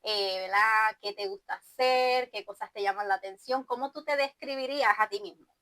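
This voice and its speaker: A woman's voice: mid-range voice, moderate pace, bright, forceful tone, dry recording. A woman hosting a church testimony interview, encouraging and affirming her guest with frequent "amén". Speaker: interview host.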